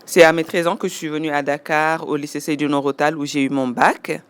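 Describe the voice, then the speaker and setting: Smooth-voiced woman in her forties. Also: sits low, steady tone. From Senegal. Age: 40s. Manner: measured, listing details step by step. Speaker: interviewee. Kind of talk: personal testimony.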